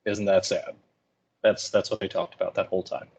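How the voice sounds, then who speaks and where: A man's voice: low-pitched, level tone, a little fast, creaky, darkish tone, slightly rough, highs cut off. A man teaching English, explaining and paraphrasing in a colloquial, informal lecture style.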